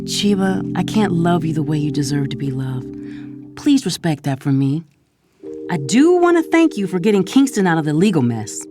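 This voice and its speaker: An actress plays a guarded middle-aged wife and mother in an audio drama. The woman is emphatic and exasperated, her pitch moving in lively swings. Clear music plays under her lines. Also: normal speed. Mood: guarded, resigned.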